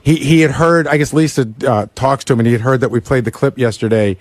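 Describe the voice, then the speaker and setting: A man on a radio show staff, casually relaying news with halting fillers. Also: medium pitch, fairly even intonation. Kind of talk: casual studio report.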